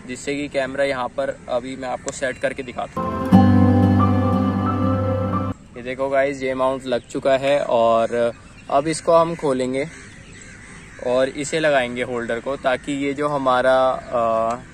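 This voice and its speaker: A young man casually unboxing and testing a gadget, talking to viewers as guys, recorded outdoors on a phone camera. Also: medium pitch. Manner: chatty, addresses viewers as guys. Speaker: vlogger. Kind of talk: casual unboxing and test vlog. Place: outdoors in an open dirt field, later riding on a street. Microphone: phone camera, later a chest-mounted phone.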